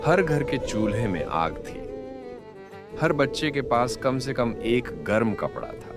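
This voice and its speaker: A man narrating a moral story in a slow, expressive, dramatic way. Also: mid-range voice. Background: loud background music.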